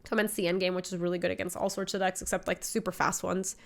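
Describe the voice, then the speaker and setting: A woman's voice: on the low side, little pitch movement, fast, creaky. A woman in her twenties with a slight cold, casually explaining a card game deck and chatting while she plays. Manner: chatty, explains deck choices, reads chat aloud. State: sniffly from a cold. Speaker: streamer. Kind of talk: casual gameplay commentary. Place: home streaming room.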